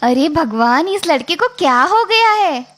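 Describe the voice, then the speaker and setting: Very high voice, swooping intonation, woman acting exasperated cartoon mother, nagging, exclamatory. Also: big pitch swings, unhurried, a bit bright, exaggerated voice. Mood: scolding. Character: exasperated, scolding village mother. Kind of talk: animated moral story acting.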